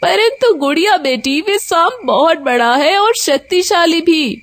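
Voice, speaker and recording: Father crow, kids' cartoon female performer: honest, caring, measured and slow, pitched high. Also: lively intonation, fairly bright tone, heavily acted. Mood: worried. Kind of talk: children's cartoon story acting.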